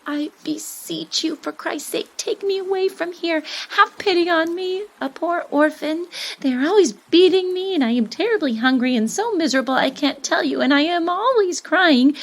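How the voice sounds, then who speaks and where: A woman's voice: high voice, gravelly, voice pushed up. A woman voicing a poor young orphan boy in a story reading, earnest, plaintive and pleading. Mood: desperate pleading. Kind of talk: dramatised short story reading.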